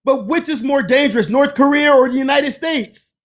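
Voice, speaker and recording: A man's voice: extremely high pitch, moderate pace, a bit bright. A man in his fifties, a guest on a livestream, talking about politics in an earnest, emphatic way. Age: 50s. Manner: earnest, emphatic, preaching cadence. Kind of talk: impassioned political livestream talk.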